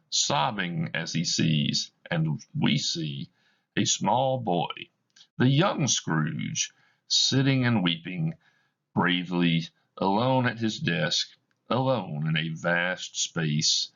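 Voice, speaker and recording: A man reading a play's stage directions aloud for a class in a measured, plain delivery. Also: low voice, vocal fry, a bit bright, lo-fi sound. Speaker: teacher reading a play aloud.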